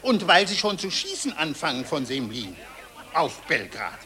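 Brief: hair-splitting reader, bright tense voice, lively pitch. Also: male voice, normal speed. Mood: fussy. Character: fussy pedantic male newspaper reader.

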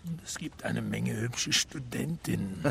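A man's voice: medium pitch, measured pace, gravelly, noisy background. An actor playing a cheeky, grumbling homeless man with a coarse, sardonic manner in a radio drama.

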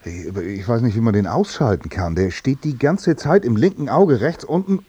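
An actor playing a broke, easily exasperated working-class man in a radio comedy, gruff and colloquial. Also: mid-range voice, animated, normal speed, warm tone, dull audio. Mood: weary, grumbling. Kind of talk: naturalistic radio comedy drama acting.

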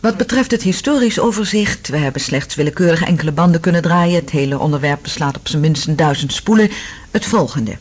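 A woman's voice: sits low, lively intonation, fairly quick, breathy edge. An actress playing a curious, affectionate woman explorer in a Dutch science fiction radio drama, with an inquisitive, careful delivery. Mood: reading, attentive.

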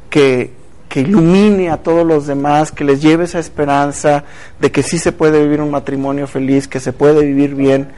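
A man's voice: a little high, a little mellow. A man hosting a casual talk show, chatty and speaking directly to viewers. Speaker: TV show host.

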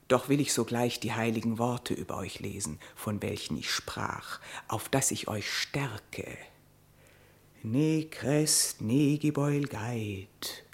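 A woman's voice: extremely low pitch, a little mellow, exaggerated voice. An actress playing a caring, fussy older female ape who gives coaxing, conspiratorial advice in a radio play. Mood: tender.

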